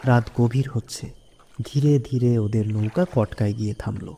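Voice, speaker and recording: A man's voice: mid-range voice, moderate pace, vocal fry, mellow, a little hiss or hum. A man narrating a scripted horror story as the storyteller. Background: music.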